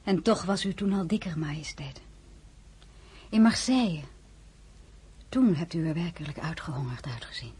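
An actress playing a dignified, lonely crown princess, frank and wistful, speaking intimately in a dramatic audio play. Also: female voice, low voice, lively intonation, moderate pace, pauses often, warm tone, slightly rough, full character voice. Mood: pointed, concerned. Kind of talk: dramatic radio play acting.

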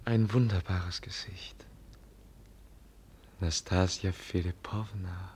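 An actor in a 1950s German radio play, playing a gentle, naive young prince who speaks politely, earnestly and hesitantly. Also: male voice, low-pitched, fairly even intonation, slow pace, a little hiss or hum. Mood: entranced, hushed.

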